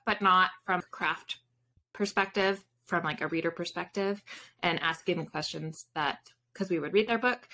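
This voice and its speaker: A woman's voice: lowish voice, bright, forceful tone, slight background noise. A woman in her thirties chatting casually as an interview guest, informal and prone to wandering off and rephrasing. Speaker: author and podcast guest. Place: indoor room with bookshelves, on a video call.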